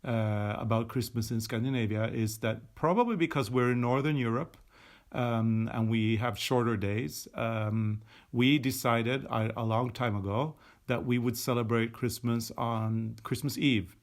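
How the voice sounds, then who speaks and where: Middle-aged Swedish-born man, native Swedish speaker, mid-pitched, casual explainer with lots of fillers. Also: a little slow. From Sweden. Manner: chatty, explanatory, with frequent fillers and restarts. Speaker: podcast co-host. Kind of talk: casual chat while knitting. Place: indoors at a table.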